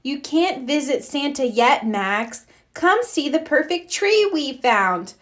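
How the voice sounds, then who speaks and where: Woman playing an earnest, high-pitched little girl rabbit. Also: expressive, takes it slowly, fairly bright tone, exaggerated voice. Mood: eager, insistent. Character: young bossy girl rabbit, earnest big sister.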